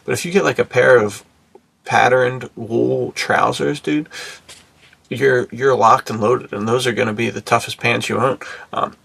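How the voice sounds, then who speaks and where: A man's voice: medium pitch, fairly even intonation, measured pace, gravelly, a bit bright, husky, no room sound. A man co-hosting a podcast in casual chat, talking in laid-back bro slang. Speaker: podcast co-host.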